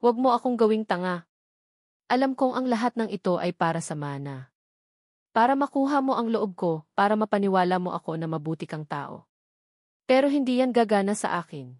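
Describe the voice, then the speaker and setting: A woman's voice: medium pitch, expressive, brisk, a little mellow, no echo, studio quality, close to an everyday voice. A woman voicing a guarded, suspicious young heiress in a radio drama, confronting and exasperated. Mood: bitter.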